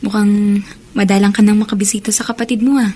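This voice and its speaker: A woman's voice: medium pitch, little pitch movement, quick pace, warm tone, faint music behind, natural voice. A woman with a Filipino accent acting as a loving, patient girlfriend in a radio drama. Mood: affectionate, understanding. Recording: faint background ambience noise.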